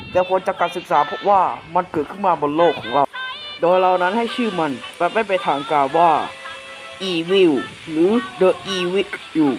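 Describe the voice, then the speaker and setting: A man playing a solemn government announcer, formally reading a measured emergency warning for an analog horror broadcast. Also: high-pitched, close to an everyday voice. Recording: clearly noisy hissing background.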